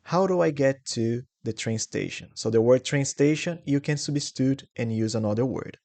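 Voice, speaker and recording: Brazilian-accented male English teacher, mid-pitched, patient and clear, repeats phrases for learners. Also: normal speed, a little mellow. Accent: Brazilian Portuguese. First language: Portuguese. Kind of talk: English lesson.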